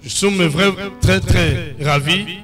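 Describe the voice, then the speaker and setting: A man whose first language is English, addressing a church in short phrases and pausing after each one for an interpreter. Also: fairly high pitch, over music. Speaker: pastor.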